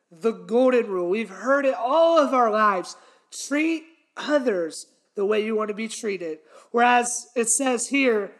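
A young man hosting his podcast, teaching a Bible passage in sermon style, earnest and emphatic, repeating his key points. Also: high-pitched. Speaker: podcast host and preacher. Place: small indoor room with a red wall. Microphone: fixed camera, head and shoulders framing.

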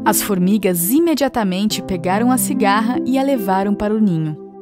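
A Brazilian woman narrating a scripted children's fable in an expressive storytelling style. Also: medium pitch, moderate pace. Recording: music behind the voice.

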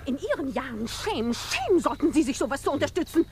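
An actress playing a scolding, sanctimonious pastor's wife in a radio drama, preachy and reproachful. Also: female voice, high voice, sing-song, a little fast, slight background noise. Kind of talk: 1950s German radio drama acting. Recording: old radio drama, crowd behind.

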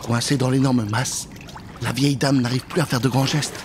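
A man's voice: medium pitch, flat delivery, normal speed, background noise. A man in his thirties telling a children's story dramatically into a close studio microphone. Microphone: close studio microphone with pop filter.

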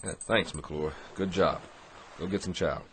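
An actor playing a forceful but caring male Navy captain in a radio drama, speaking with urgent authority. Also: sits low, measured pace, a little hiss or hum. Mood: brisk, stern. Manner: authoritative, urgent, clipped orders.

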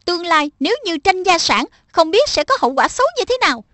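A woman's voice: very high-pitched, very animated, fast, fairly bright tone, dry recording, heavily acted. A woman voicing a small, preachy magical pet spirit in a cartoon dub, admonishing and instructive. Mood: stern, admonishing.

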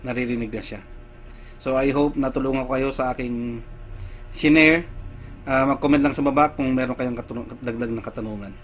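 Man explaining a gadget fix, unhurried. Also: mid-range voice, fairly even intonation, faint music behind. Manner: unhurried, explains step by step with many fillers. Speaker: tech vlogger. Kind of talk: casual how-to demonstration.

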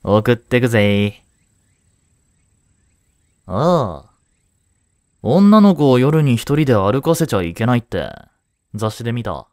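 A male actor plays a cheeky, laid-back high school boy in a romantic audio drama. He talks slowly in casual slang with an animated range of pitch, teasing yet protective and reassuring. The sound is close and dry. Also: no echo. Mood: relaxed, candid.

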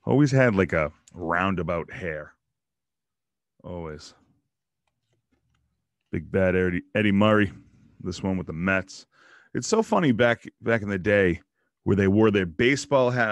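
Low gravelly man chatting at home. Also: no echo. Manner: rambling, joking asides about players. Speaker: podcast host opening baseball card packs. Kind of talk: casual solo chat. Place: small home room lined with card displays. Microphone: studio mic on boom arm, headphones.

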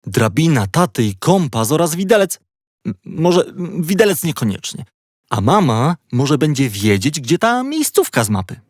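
A man narrating a children's story in an expressive, playful storytelling manner. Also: on the high side, moderate pace, dry recording, studio quality.

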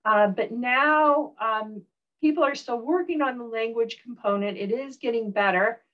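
A woman professor with a Southern US accent giving a recorded online lecture, relaxed, with frequent ums and uhs. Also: on the high side, moderate pace, highs cut off.

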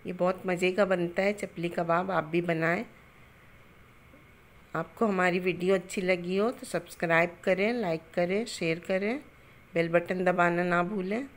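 A middle-aged woman with a somewhat low voice talks through a recipe step by step, calm and homely. She sounds like a home cook recording on a phone in her own kitchen, adding greetings and blessings as she goes. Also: unhurried. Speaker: cooking channel host.

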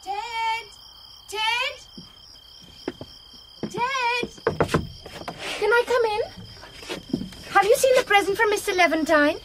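A woman in a radio drama playing an excitable, affectionate young married woman. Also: very high-pitched, slow pace, pauses often, background noise. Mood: excited.

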